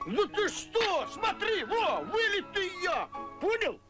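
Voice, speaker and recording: A soft-hearted cartoon wolf who swoops up and down in pitch. His drawling, emotional delivery is unhurried, and music plays behind him on an old film soundtrack. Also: big pitch swings, heavily acted. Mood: earnest. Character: gruff soft-hearted male wolf raising a calf. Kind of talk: Soviet puppet cartoon voice acting.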